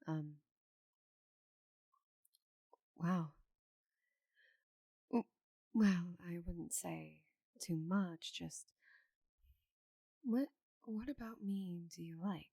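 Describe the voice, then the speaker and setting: Lady doing a big orc knight woman for asmr, soft n husky, real slow, teasing but tender, confident. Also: fairly low pitch, dry recording, exaggerated voice, low-quality recording. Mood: flustered, tender. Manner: slow, soft, intimate delivery with breathy murmurs. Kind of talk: intimate ASMR roleplay.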